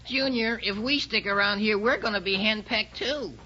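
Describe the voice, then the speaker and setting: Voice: mid-range voice, normal speed, bright, forceful tone, lightly acted. A performer playing a polite but cheeky teenage boy with dry wisecracks in a 1940s radio sitcom. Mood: wisecracking. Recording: muffled, faintly noisy 1940s radio recording.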